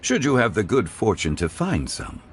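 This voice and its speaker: A man voicing a courteous, refined gentleman in a video game who speaks in a formal, measured and eloquent way. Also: lowish voice, huge pitch swings, a little hiss or hum. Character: refined, courteous gentleman fond of the finer things. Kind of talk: video game cutscene dialogue.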